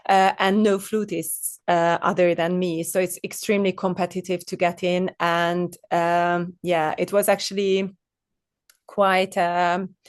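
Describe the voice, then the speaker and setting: A woman's voice: on the low side, level tone, unhurried, smooth, dry recording. A woman from Hungary, a professional flutist, talking about her career over a video call with many small restarts and fillers. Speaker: flutist and guest. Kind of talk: interview conversation.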